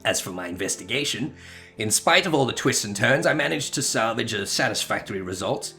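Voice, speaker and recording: Low, weary knight investigator, acted by a man. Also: expressive, pushed and bright. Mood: weary, wry. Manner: measured, dry, formal. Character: weary, wry male knight investigator, dryly courteous. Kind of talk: melodramatic voicing of video game dialogue. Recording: music behind.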